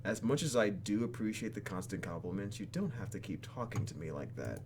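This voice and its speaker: A man reads a troubled young heroine from a dating game in a low, expressive voice. The delivery is hesitant and guarded, with faint hum behind it. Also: brisk. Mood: wry, flustered. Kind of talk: visual novel character reading.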